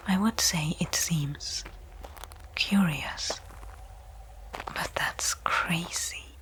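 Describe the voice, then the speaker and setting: Casting: woman acting as a tense stranded astronaut for ASMR sci-fi roleplay; low, breathy whisper, deliberate delivery. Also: rough recording. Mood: uneasy, pensive. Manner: slow, hushed, deliberate phrasing with pauses. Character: tense woman space explorer stranded on alien planet. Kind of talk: whispered ASMR audio roleplay, first-person monologue.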